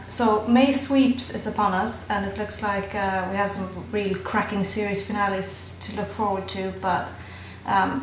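A woman's voice: mid-range voice, echoey room, a little hiss or hum, rough recording. A Swedish woman with a mixed Irish and London accent, leaving a chatty, self-deprecating voice message for a podcast. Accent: Swedish with an Irish and London mix. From Sweden. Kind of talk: recorded listener message.

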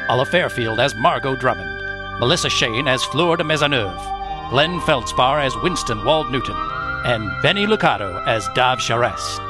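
Man acting radio announcer over loud music. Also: mid-range voice, animated, moderate pace, close to an everyday voice. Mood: grandly promotional. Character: old-time radio announcer reading credits and sponsor. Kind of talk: old-time radio announcing, parody.

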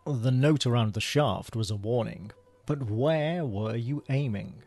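A man's voice: mid-range voice, measured pace, darkish tone, a little hiss or hum, natural voice. A man playing a dry, unflappable British spy in radio theater, with a wry, understated manner. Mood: wry, probing. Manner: wry, understated, old-fashioned turns of phrase.

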